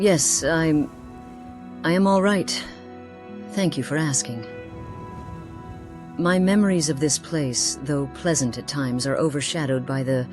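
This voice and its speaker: A woman playing a composed, formal noblewoman haunted by loss in a fantasy game, with deliberate, archaic phrasing. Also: deep voice. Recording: faint music behind.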